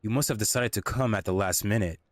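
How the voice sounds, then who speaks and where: A man's voice: lowish voice, fast talker, big-room sound, close to an everyday voice. A man playing a brash, confrontational teenage jock in an audio drama. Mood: challenging.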